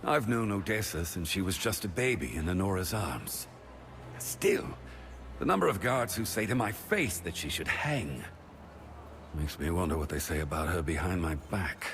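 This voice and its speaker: A man's voice: low-pitched, expressive, moderate pace, a bit bright, a little hiss or hum. An actor playing a suspicious, loyal male warrior in a fantasy game, brooding and dramatic in delivery. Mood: brooding, wary. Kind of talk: fantasy video game dialogue.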